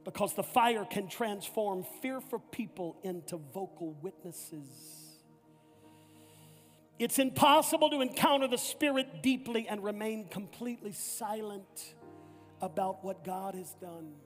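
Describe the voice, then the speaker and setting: A man's voice: high-pitched, measured pace, a bit bright. A man preaching a sermon as pastor, emphatic and repetitive, often restarting his phrases. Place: church sanctuary. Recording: echoey hall with faint music.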